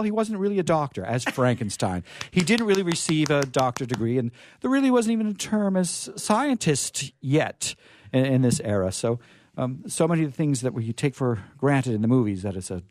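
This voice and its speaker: A male radio host talks off the cuff in a dry studio with a widely varying, animated pitch. He rambles casually, often halting and restarting his thoughts. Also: no echo. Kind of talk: casual unscripted on-air chat.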